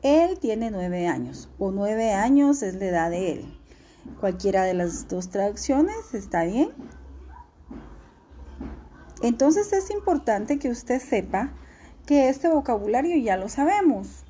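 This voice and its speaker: A woman teacher, giving a recorded class lesson in a clear, instructive way and repeating key words slowly. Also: on the high side, sing-song, dark tone, slight background noise.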